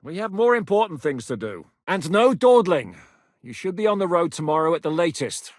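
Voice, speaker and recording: An actor playing a gruff, blunt, sweary rebel leader in a video game, giving curt orders. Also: male voice, a little high, big pitch swings, moderate pace, dry recording, studio quality. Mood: barking. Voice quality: gruff, commanding. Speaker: game character.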